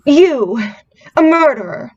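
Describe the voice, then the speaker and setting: An actress playing a gentle, frightened, disbelieving young woman in an old time radio drama. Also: sits high, expressive, slow pace, dull audio.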